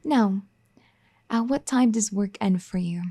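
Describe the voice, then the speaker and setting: A woman voicing a firm but caring young wife who scolds and then gently pleads in an audio roleplay. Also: medium pitch, unhurried, breathy edge. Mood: scolding, sassy. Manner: bossy teasing scolding that turns gentle and pleading. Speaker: ASMR roleplay performer. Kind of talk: audio roleplay addressed to the listener.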